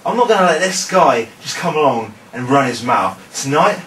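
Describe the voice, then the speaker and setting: A sly, mouthy heel wrestler, played by a man, sneering his threats with lively swings in pitch. He sounds set back from the mic in a roomy space, with faint noise behind. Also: normal speed, recorded from a distance. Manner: sneering trash talk.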